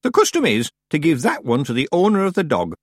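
A man voicing a very old, sharp-tongued village witch woman who bosses people about in an imperious, fussy way. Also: fairly high pitch, huge pitch swings, a little fast, no echo, studio quality, voice pushed up. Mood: commanding.

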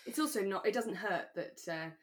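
A woman's voice: medium pitch, lively intonation, fairly quick, slight background noise. A woman co-hosting a podcast in casual conversation, reasoning aloud as she talks. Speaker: podcast co-host. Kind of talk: casual chat about a radio drama.

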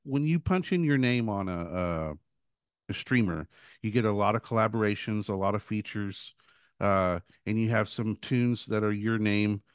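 A man's voice: lowish voice, moderate pace, clear, resonant tone, no echo, studio quality. A man hosting an interview podcast, asking long rambling questions with many restarts. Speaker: podcast host; works at a guitar shop. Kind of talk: casual interview chat.

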